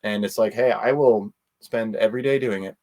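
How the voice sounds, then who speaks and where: A man's voice: lowish voice, moderate pace, darkish tone. Casual, rambling man in an interview. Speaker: interview guest.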